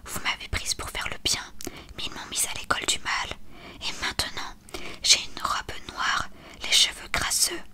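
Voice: normal speed, bright, forceful tone. A storyteller whispering the lines of a vain, dramatic teenage girl in a storybook reading. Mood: whiny.

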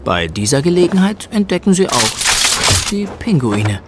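A man narrating a scripted children's audio drama in an expressive storytelling manner. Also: a little high, moderate pace, heavy background noise.

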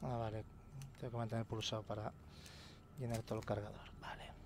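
A man's voice: fairly low pitch, level tone, a little fast, background noise. A man with a Spain Spanish accent, casually commenting on gameplay, swearing and muttering to himself.

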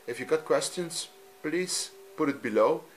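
A man from Belgium, a native Dutch speaker with a Dutch accent, talking off camera in a halting, simple way while he films his TV screen at home. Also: on the high side, expressive, faint music behind. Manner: halting, frequent ums, simple non-native phrasing. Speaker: hobbyist youtuber. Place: room at home, filming a TV screen.

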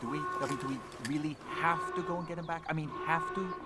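A man's voice: a little high, steady tone, fast, very noisy, natural voice. A man playing an anxious, chatty soldier in a war video game, talking in a nervy, protesting way. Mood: reluctant. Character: anxious, chatty, sarcastic young male soldier.

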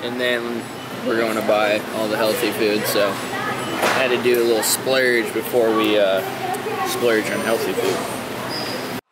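A man's voice: lowish voice, level tone, unhurried, pauses often. An American man in his twenties chatting casually to the camera like a vlogger, with slangy, bro-ish asides to his viewers. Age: 20s. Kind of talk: casual vlog chat to camera. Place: car, large warehouse stores, parking lot. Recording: noisy car and big-box store ambience.

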